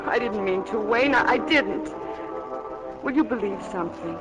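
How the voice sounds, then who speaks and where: A woman's voice: mid-range voice, lively intonation, moderate pace, over loud music, close to an everyday voice. An actress playing an earnest, lovesick young woman in a 1940s radio melodrama. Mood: anguished. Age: young adult. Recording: dull old radio broadcast.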